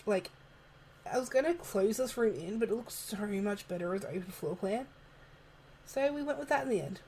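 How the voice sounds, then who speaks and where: Soft-spoken woman, chatty and giggly. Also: medium pitch, normal speed, a little hiss or hum, rough recording. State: losing her voice. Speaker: video creator narrating a game build. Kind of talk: casual voice-over chat. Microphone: home desk microphone, moved closer partway.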